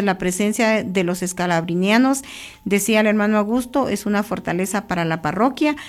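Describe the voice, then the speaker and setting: A Guatemalan woman hosting a church radio program, greeting listeners and reflecting on scripture in a steady, unhurried pastoral way from a radio studio booth. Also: mid-range voice. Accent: Guatemalan Spanish.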